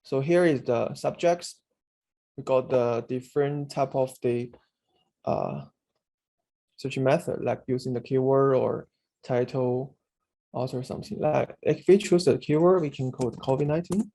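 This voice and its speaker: A man giving a screen-share tutorial on searching a database, speaking haltingly with many fillers and restarts. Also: mid-range voice, vocal fry, warm tone. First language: Chinese. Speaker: instructor demonstrating a database.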